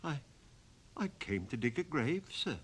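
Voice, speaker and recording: A man's voice: medium pitch, sing-song, measured pace, slight background noise. A man voicing a terrified old gravedigger who stammers timidly in a storybook reading. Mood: frightened.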